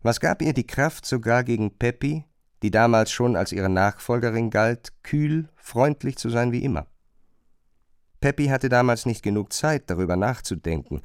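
A man narrating a literary radio drama in a measured, pointed, questioning manner. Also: mid-range voice, animated, smooth, dry recording, clean studio sound, natural voice.

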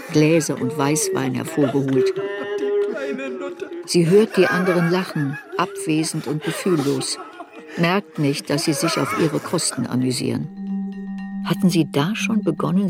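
A woman's voice: low voice, gravelly. A woman playing a reflective narrator who looks back on a girl's story in a literary radio play.

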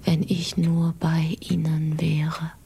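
A woman's voice: deep voice, completely flat delivery, takes it slowly, creaky, background noise, heavily acted. A woman playing a tender, protective, anxious fisherman's wife in a children's radio play, with a fretful, pleading delivery. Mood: worried, brooding.

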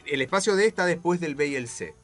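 A man's voice: on the high side, lively intonation, quick pace, a bit bright, soft background music. A man, an improv performer explaining things in a casual radio interview.

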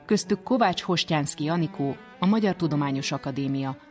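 A man's voice: a little high, faint music behind. A man presenting a science programme in scripted narration.

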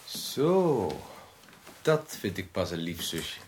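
Low-voiced, gallant, teasing man. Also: big pitch swings, slow, noisy background, full character voice. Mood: charming, teasing. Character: gallant, teasing brother paying sugary compliments. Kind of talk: 1980s Dutch radio drama acting.

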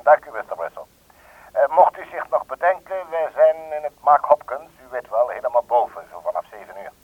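A thin, dry telephone voice from an old radio play, an affable man in his late fifties. He is mid-pitched, eager and formally polite, with small hesitations. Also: sing-song, brisk, dry recording, phone-quality sound. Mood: genial, inviting. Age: 50s. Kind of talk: Dutch radio play dialogue over the telephone.

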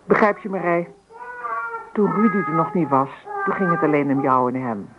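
An actor playing a kindly older father-in-law in a naturalistic radio drama, talking in a gentle, confiding way. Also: fairly high pitch, lively intonation, normal speed, close to an everyday voice. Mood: tender, confiding. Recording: old radio play, phone-like sound, noticeable noise.

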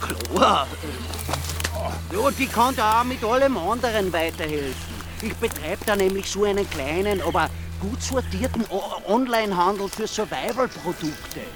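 An eccentric Austrian survivalist ranger with a notably high male voice. He lectures in colloquial speech, cranky and sure of himself, in a radio play with music behind him. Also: animated, normal speed. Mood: preachy, self-assured. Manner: lecturing, self-assured, colloquial. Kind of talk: radio drama acting.

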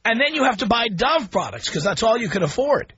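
A man's voice: extremely high pitch, big pitch swings, brisk, a bit bright, husky, no room sound, highs cut off. A man hosting a call-in radio talk show, blunt and opinionated with callers.

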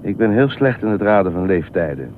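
A fairly low male voice on a thin, noisy recording, playing a holidaymaker in a radio play. He is polite and reserved, sensible and matter-of-fact, with a bemused tone. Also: animated, brisk. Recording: noisy, tinny phone-like radio drama recording.

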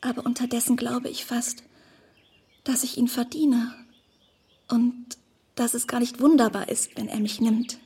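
A woman playing a naive, smitten young woman in a radio drama, earnest and effusive with a breathy delivery. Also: on the high side, little pitch movement, unhurried, pauses often, warm tone, exaggerated voice. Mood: adoring. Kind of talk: German radio drama acting.

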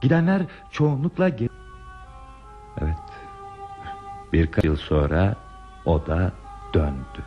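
A man's voice: sits low, wildly swooping pitch, measured pace, pauses often, exaggerated voice. An actor playing a bashful, wistful man who recalls a lost youthful love in a hesitant, reflective radio drama style. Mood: nostalgic. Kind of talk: radio theatre acting. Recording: dull radio drama recording with music.

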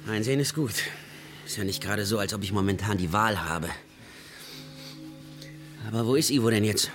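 An actor playing a cornered, defiant small-time crook on the run, muttering and cursing sarcastically in a radio crime drama. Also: male voice, on the low side, normal speed, lightly acted. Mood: sardonic. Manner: streetwise, muttering, cursing, sardonic. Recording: clear music behind the voice.

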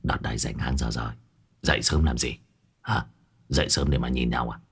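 Brief: cynical crude slacker, low mumbling growl, as a storyteller puts him on. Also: male voice, steady tone, normal speed, a bit bright, heavily acted. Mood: lazy. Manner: gruff, crude, mocking. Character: lazy gruff young male sidekick, crude and cynical.

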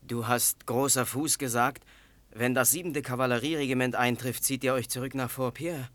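Radio play lead: actor as proud warrior father, mid-pitched male, measured and grave narration. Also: steady tone, a bit bright, natural voice. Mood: earnest. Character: proud, solemn Lakota warrior father recounting the past.

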